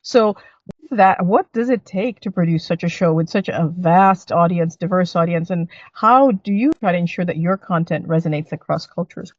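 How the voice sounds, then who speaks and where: A woman interviewer who asks measured questions and backs up her guest with short affirmations. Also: fairly low pitch, dry recording. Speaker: interviewer and bureau chief. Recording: dry, slightly dull sound.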